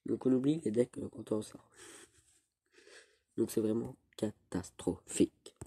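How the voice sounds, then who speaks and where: A teenage boy with a cold, rambling through casual gameplay commentary with halting restarts. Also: fairly low pitch, dark tone, husky, no echo. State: stuffy with a cold. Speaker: gaming youtuber.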